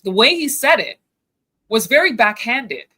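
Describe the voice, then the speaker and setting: A woman's voice: fairly high pitch, lively intonation, unhurried, pushed and bright. A woman with a Canadian accent, born in Ghana and raised in Canada, giving earnest, emphatic commentary on a video call. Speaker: co-host. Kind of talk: news commentary chat.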